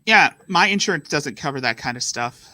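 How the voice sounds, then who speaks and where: A man with a slightly nasal voice co-hosting a casual stream chat, talking in halting bursts with restarts. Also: fairly high pitch, lively intonation, bright, forceful tone. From Arizona, US. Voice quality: a bit nasal. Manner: halting, restarts mid-thought. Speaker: stream co-host.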